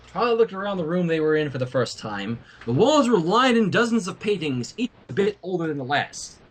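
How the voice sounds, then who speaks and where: High-pitched, highly animated male story reader. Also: fairly quick, breathy edge. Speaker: fan fiction reader. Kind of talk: animated story narration with casual asides.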